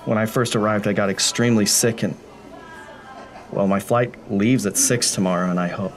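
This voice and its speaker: An actor playing an anxious, sleepless city architect who stammers in an audio drama. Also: male voice, lowish voice, fairly even intonation, moderate pace, long reverb tail, lightly acted. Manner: stammering, rattled.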